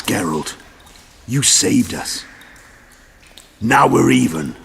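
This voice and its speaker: Game villain: cold, taunting assassin, low gravelly voice with animated swings, music behind. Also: male voice, a little hiss or hum, heavily acted. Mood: coldly smug. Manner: taunting, assured. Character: menacing, cold male kingslayer assassin. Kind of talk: video game villain acting.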